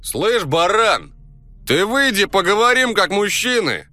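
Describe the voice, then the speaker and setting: Man as a brash guard yelling, raised pitch. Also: sing-song, unhurried, faint music behind, full character voice. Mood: angry shouting. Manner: coarse, belligerent, shouting. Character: brash, angry male night security guard yelling challenges.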